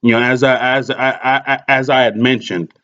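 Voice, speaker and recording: A man's voice: medium pitch, fairly even intonation, moderate pace, fairly bright tone. A man making a customer complaint by phone, insistent but polite, often stammering and repeating his words. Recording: muffled lo-fi recorded phone call.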